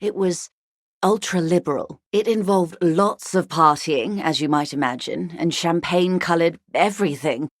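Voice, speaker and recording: A woman's voice: fairly low pitch, normal speed, dry recording, clean studio sound, lightly acted. An actress playing a wealthy, worldly older woman in a video game, articulate, arch and wryly condescending. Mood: wistful, amused. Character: wealthy, worldly older woman, urbane, wry, intellectually vain. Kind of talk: video game character dialogue.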